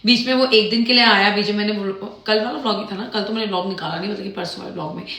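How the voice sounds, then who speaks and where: Woman vlogger, chatty, mixes in English, cooking at home, mid-pitched. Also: fairly even intonation, very fast, bright, forceful tone. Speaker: lifestyle vlogger. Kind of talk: casual chat to camera. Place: home kitchen while cooking.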